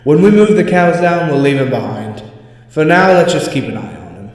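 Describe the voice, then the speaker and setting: A man's voice: fairly high pitch, expressive, moderate pace, pitched above the performer's own voice, close to an everyday voice. A man reading the part of a wary, doubting cowherd in a spooky storybook tale. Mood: cautious. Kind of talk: storybook character dialogue. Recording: echoey reverberant hall sound.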